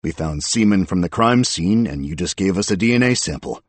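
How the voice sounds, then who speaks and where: A man's voice: low voice, expressive, brisk, no echo. A man voicing a stern male police officer, shocked and let down, in an audiobook story.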